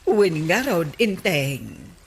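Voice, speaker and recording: A woman's voice: fairly low pitch, slight background noise, exaggerated voice. A woman with a Filipino accent playing a village captain's affectionate but nagging wife in a radio comedy drama. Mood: tender, amused. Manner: drawn-out, expressive.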